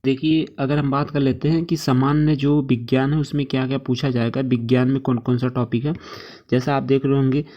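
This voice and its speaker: A man's voice: mid-range voice, little pitch movement, fairly quick. A man giving an informal exam-prep lesson, going through lists, mixing in English terms and often adding short check-in phrases. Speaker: online exam-prep teacher. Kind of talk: informal instructional lecture, reading through lists. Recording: dull, muffled lo-fi voice track.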